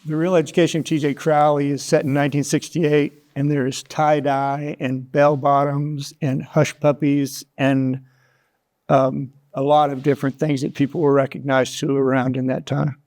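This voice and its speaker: Mellow older American man, lightly high voice. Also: flat delivery. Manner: thoughtful, halting, reflective phrasing. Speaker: interview guest, author and storyteller. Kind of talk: reflective interview conversation.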